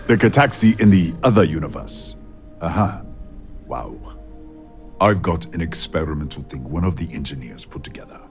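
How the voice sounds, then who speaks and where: An actor playing a helpful, weary facility worker in a video game, speaking in a casual, understated, matter-of-fact way. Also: male voice, low voice, moderate pace, soft background music, close to an everyday voice. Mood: hesitant.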